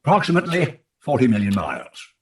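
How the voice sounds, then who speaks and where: A man's voice: medium pitch, huge pitch swings, fast. A man playing an unruffled, skeptical astronomy professor, speaking in a donnish way in an old-time radio drama. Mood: assured, dismissive. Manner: donnish, dismissive, considered phrasing.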